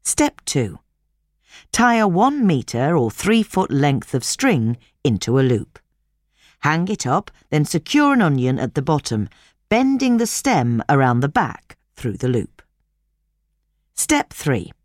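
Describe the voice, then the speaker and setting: Female narrator for instructional read-aloud: deep register, very varied melody, measured delivery, dry close sound. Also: sing-song, a little mellow, no echo. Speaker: narrator reading a gardening magazine article.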